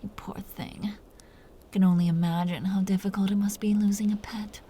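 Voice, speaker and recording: A woman playing a teasing, seductive, dominant vampire captor in an intimate roleplay audio drama, talking in breathy half-whispers. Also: mid-range voice, fairly even intonation, moderate pace, mellow, a little hiss or hum, close to an everyday voice. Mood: mock-tender teasing.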